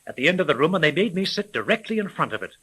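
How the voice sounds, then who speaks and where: A man's voice: on the high side, big pitch swings, very fast, fairly bright tone, slightly rough, full character voice, rough recording. An actor playing an indignant, flustered older businessman and father, protesting emphatically in a 1930s radio drama. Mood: protesting, puzzled.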